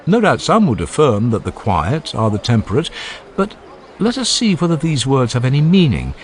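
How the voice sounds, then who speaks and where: A man playing an older philosopher who questions patiently and courteously in formal, measured phrases. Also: medium pitch, big pitch swings, voice pushed up, natural voice. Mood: reasoning. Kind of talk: dramatized philosophical dialogue.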